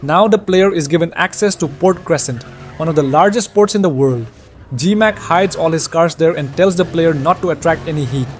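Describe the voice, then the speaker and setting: A man's voice: fairly high pitch, moderate pace, slight background noise. A man reading scripted narration that recaps a video game's story.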